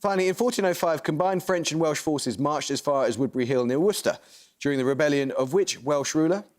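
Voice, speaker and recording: A man hosting a student quiz show, reading questions and giving rulings in a crisp, brisk manner. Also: fairly high pitch. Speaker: quizmaster.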